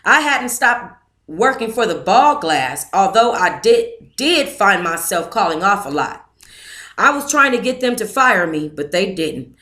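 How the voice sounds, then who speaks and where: A woman's voice: medium pitch, big pitch swings, a little slow, sharp tone. A woman hosting a book club reads a memoir aloud in the first person and stops now and then to thank listeners and comment. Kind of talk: reading a memoir aloud with asides.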